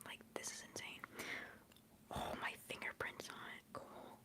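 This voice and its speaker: A young woman from the United States whispering for an ASMR video at home, chatting in a rambling, giggly way full of "like" into a close mic. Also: unhurried. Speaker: ASMR creator. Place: her bedroom at home.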